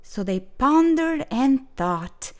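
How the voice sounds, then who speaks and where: A woman reading a rhyming children's picture book aloud for storytime, gentle and expressive. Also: high voice, takes it slowly. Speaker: storytime reader.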